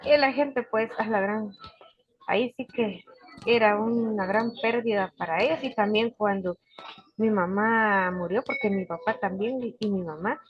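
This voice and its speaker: An older woman, unhurried and full of restarts, telling family stories as a guest on a video call. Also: mid-range voice, husky. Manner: warm, unhurried storytelling with frequent restarts. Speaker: guest speaker, forest guardian. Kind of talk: casual storytelling interview. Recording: dull lo-fi video call audio.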